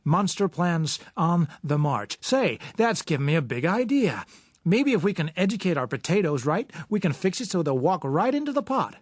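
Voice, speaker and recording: Male narrator as folksy newsreel man, high-pitched, jaunty, brash, cinema patter, audiobook character. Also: sing-song, normal speed, dry recording, studio quality. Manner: jaunty, exaggerated showman delivery. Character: brash, folksy American newsreel commentator, jokey and peppy.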